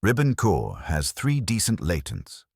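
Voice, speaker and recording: A man's voice: lowish voice, huge pitch swings, a little slow, gravelly, a little mellow, husky, no echo, studio quality, pitched above the performer's own voice, full character voice. A man voicing a terse, businesslike private investigator in an audiobook, reporting in clipped, matter-of-fact phrases.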